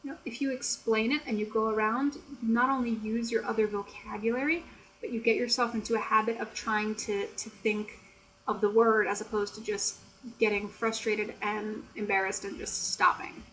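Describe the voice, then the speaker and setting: An American woman chatting softly and explaining things in a casual, teacherly way, with frequent "you know". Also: a little high, fairly even intonation, moderate pace, low-quality recording. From United States. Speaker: ASMR creator and English tutor. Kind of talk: soft-spoken ASMR chat.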